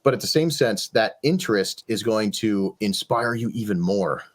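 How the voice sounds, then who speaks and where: A podcast host with a slightly low voice talks in long, reflective stretches. He is encouraging and casual, and he swears as he goes. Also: lively intonation, normal speed.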